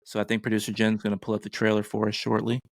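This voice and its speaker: A man's voice: fairly low pitch, monotone, rapid-fire, gravelly, no room sound, studio quality. A middle-aged man co-hosting a podcast, giving casual, enthusiastic pop culture commentary in a conversational way. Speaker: podcast co-host.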